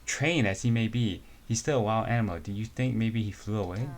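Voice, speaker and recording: A man reads as a stern woman guard at a zoo, dry and scolding. His voice stays low and close to his own in this homemade comic reading. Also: a little fast, natural voice. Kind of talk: amateur dramatic reading of a comic.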